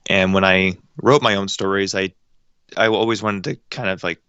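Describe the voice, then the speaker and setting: A young man in his late teens talks in a casual interview, halting and modest, often pausing on ums. His voice is very low yet bright and crisp, and the recording is dry and close. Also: flat delivery, dry recording, muffled recording. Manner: hesitant, modest, many ums. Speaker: guest voice actor and director.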